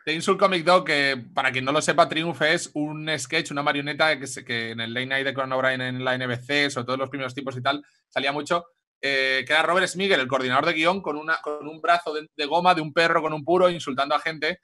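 A male comedy host with an accent from Spain. His voice is fairly high and very bright, with a forceful edge, as he chats casually over a video call from home. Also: fairly even intonation, fast talker. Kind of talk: casual chat.

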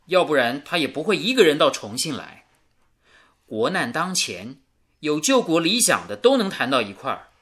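A fussing, earnest young married man in a radio drama. His voice is forceful and fairly high with animated swings, and he scolds kindly. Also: heavily acted. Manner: earnest, gently chiding. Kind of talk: Mandarin radio drama acting.

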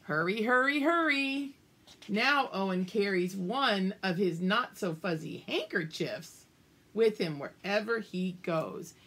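A woman's voice: mid-range voice, lively intonation, slow pace, a bit bright, slightly rough. An older woman reading a picture book aloud to young children, with exaggerated emphasis and friendly asides to her listeners. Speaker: children's storybook reader. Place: small room at home, indoors.

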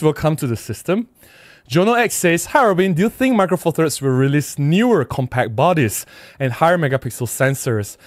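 A man's voice: mid-range voice, no echo. Malaysian man livestreaming, dry close sound. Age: middle-aged. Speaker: livestream host. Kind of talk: casual livestream chat, reading out viewer comments and replying. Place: small indoor room at a desk. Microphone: close desk microphone with windscreen.

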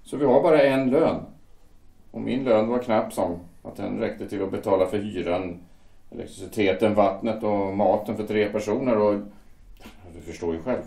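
A man's voice: lowish voice, vocal fry, warm tone. An actor playing a worried shipyard foreman and family man who speaks in a hesitant, defensive way. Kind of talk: amateur audio drama acting. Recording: echoey room.

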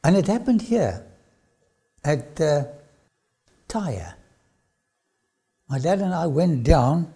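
Older Afrikaans-accented man, lively storyteller. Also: fairly high pitch, creaky, slightly rough. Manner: animated, dramatic swings from loud to whisper. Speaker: archaeology lecturer. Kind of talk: personal storytelling to camera. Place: studio with dark backdrop.

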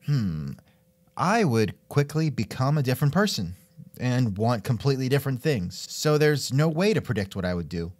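A man's voice: mid-range voice, big pitch swings, moderate pace, a little mellow. A man hosting an interview in a casual, musing way, with wry asides and thoughtful hmms. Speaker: podcast host and interviewer. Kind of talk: casual scripted interview chat.

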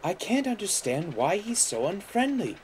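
A man acts out a female locomotive from a children's story. Her voice is pitched up and lilting, prim and polite, with a dry, sharp tongue. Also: big pitch swings, normal speed, a little hiss or hum. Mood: regretful.

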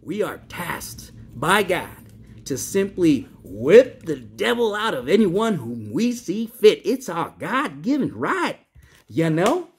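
A man's voice: high-pitched, sing-song, takes it slowly, slight background noise, heavily acted. A man acting a pompous, menacing nun of fifty-eight in a theatrical monologue, sugary, preachy and folksy. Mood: righteous, menacing. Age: 58 as played. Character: pompous, menacing 58-year-old Mexican nun in charge.

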